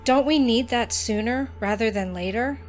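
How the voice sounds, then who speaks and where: A woman's voice: on the high side, normal speed, soft background music, natural voice. A woman playing an earnest young student. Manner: earnest, keeps proposing plans. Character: practical young female student with magic powers.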